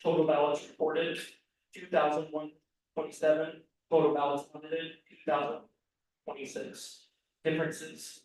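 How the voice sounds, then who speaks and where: A man presenting election audit results at a public meeting, reading figures haltingly with many ums and restarts. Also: fairly high pitch. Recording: very echoey room, picked up from far off.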